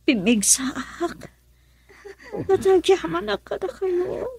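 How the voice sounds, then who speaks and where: A woman playing an ailing, forgiving mother in an emotional radio drama, her voice breathy and strained. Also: very high-pitched, big pitch swings, slow pace, a little mellow, heavily acted. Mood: weak, grateful.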